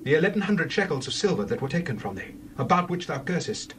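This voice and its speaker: An actor playing an earnest, formal householder in a dramatized Bible. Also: male voice, on the high side, big pitch swings, fast, a bit bright. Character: Micah, earnest grown son and householder. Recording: distant, faintly noisy recording.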